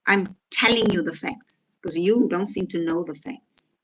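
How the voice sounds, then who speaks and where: A woman's voice: on the low side, unhurried, fairly bright tone, slight background noise, rough recording. Sarcastic woman vlogging. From South Africa. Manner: sarcastic, reads out and rebuts comments. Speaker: vlogger reacting to comments. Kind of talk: casual reaction commentary.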